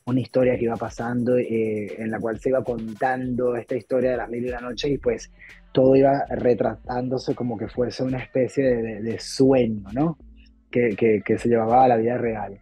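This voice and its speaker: Venezuelan-born actor guy rambling on a video call, husky voice, lo-fi sound with faint music under it. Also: mid-range voice, level tone, normal speed, warm tone. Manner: rambling, many fillers and restarts. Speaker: actor guest. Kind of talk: casual interview chat. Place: home room, video call.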